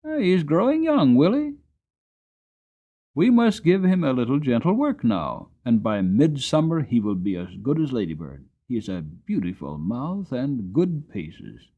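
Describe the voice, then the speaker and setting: A man voicing a kindly old grandfather, a gentleman farmer, in a dramatic storybook reading with a deliberate, encouraging, courteous manner. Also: medium pitch, sing-song, phone-quality sound. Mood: delighted. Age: older.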